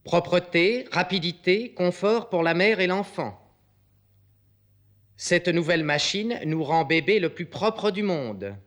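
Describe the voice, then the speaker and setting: A male announcer with a high voice delivers a vintage promotional announcement. He presents it like an old advertising reel. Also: animated, slow pace, a bit bright.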